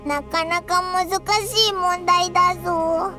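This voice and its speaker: Squeaky, very high fairy child. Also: female voice, little pitch movement, slow pace. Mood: concerned. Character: tiny childlike fairy companion, brash and excitable. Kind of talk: Japanese anime game voice acting. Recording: music behind the voice.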